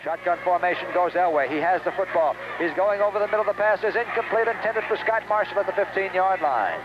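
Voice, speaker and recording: Male American play-by-play announcer, high voice, live football radio call in clipped phrases, dull old broadcast sound. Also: quick pace. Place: outdoors at a stadium. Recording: dull, noisy 1970s radio recording.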